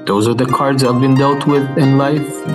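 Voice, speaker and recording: A man in his thirties from Finland, answering interview questions thoughtfully and pausing often with fillers. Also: medium pitch, little pitch movement, music in the background. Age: 30s. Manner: thoughtful, with many fillers and restarts. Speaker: guest.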